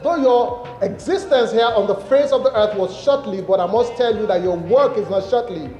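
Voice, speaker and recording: A man's voice: high-pitched, normal speed, warm tone. A man from Nigeria giving a devout spoken tribute to someone who has died. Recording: echoey hall, long reverb, faint music.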